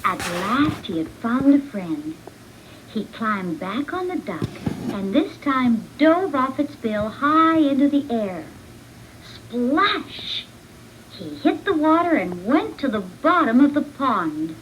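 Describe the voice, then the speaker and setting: A woman reading a children's storybook in an expressive, deliberate read-along manner. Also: a little high, background noise. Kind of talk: scripted children's storybook narration.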